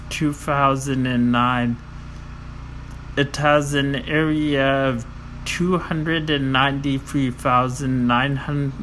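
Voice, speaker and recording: A man slowly reading out a list of world records, halting often with fillers and stumbling over foreign names. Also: medium pitch, fairly bright tone, a little hiss or hum, low-quality recording. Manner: slow, halting, with many fillers and self-corrections.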